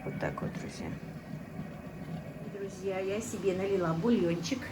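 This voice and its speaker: A woman's voice: mid-range voice, unhurried, echoey room, distant mic, background noise. Chatty middle-aged woman. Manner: chatty, keeps calling the viewers friends. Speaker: cooking vlogger. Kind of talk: casual cooking vlog. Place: home kitchen.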